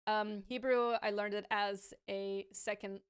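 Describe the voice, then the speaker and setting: A woman with a smooth, clear voice talks casually to camera, answering viewer questions. She stammers often, repeating sounds and restarting words, and stays thoughtful and frank with light laughs. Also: mid-range voice, steady tone, unhurried.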